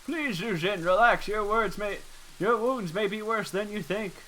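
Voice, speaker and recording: A man voicing a gentle, solicitous game character who speaks softly and courteously. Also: high voice, a little slow, slight background noise, voice pushed up, poor audio. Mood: concerned. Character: gentle, solicitous, polite helper.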